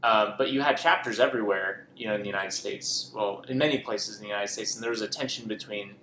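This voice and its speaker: A man's voice: on the low side, fast, bright, forceful tone. A man co-hosting a podcast, chatting casually about books he read, rambling with lots of ums and self-corrections. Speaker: podcast co-host. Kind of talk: casual book chat. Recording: echoey room with faint noise.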